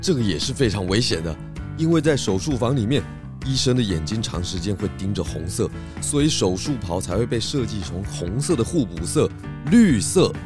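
A man's voice: on the low side, sing-song, normal speed, music in the background. A man playing a concerned, knowledgeable detective in a children's audio drama, explaining things earnestly. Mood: serious explaining.

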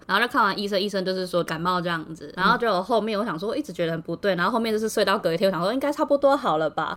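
Fast-talking woman with a Taiwanese Mandarin accent. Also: medium pitch, fairly bright tone. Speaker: podcast co-host. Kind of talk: casual chat, personal storytelling.

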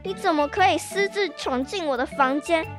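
A woman's voice: very high-pitched, normal speed, music in the background. A schoolgirl acting a whiny, indignant young sister in a children's radio drama. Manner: whiny, protesting.

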